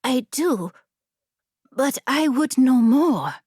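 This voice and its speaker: A woman voicing an eager, curious female story-seeker in a fantasy audiobook, earnest and excitable. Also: high-pitched, drawn-out words, breathy edge, no room sound, studio quality, voice pushed up, exaggerated voice. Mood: eager, hesitant.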